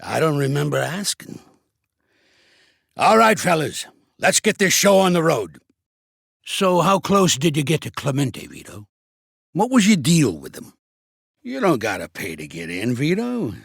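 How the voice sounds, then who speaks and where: An actor voicing a shrewd, gruff old American mobster for a video game, streetwise and blunt, with a gravelly, husky voice. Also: male voice, on the high side, very animated, measured pace, long pauses, no echo, studio quality, exaggerated voice. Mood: businesslike. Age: older. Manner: streetwise, commanding, blunt, slangy. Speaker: mobster mentor and boxing coach. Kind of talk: video game character acting.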